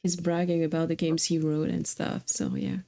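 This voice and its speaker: A woman's voice: deep voice, flat delivery, moderate pace, warm tone. A woman streamer chatting casually about video games in a conversational, easygoing way. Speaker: streamer host.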